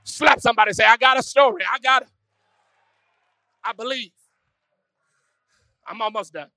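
A pastor preaching a sermon with call-and-response, swinging from hushed musing to shouted, sung climaxes. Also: male voice, very high voice, moderate pace, no room sound. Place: church.

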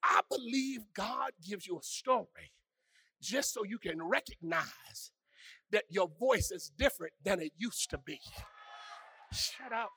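A pastor preaching a sermon with call-and-response, swinging from hushed musing to shouted, sung climaxes. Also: male voice, sits high, expressive, a little slow, gravelly, slightly rough, no echo. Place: church.

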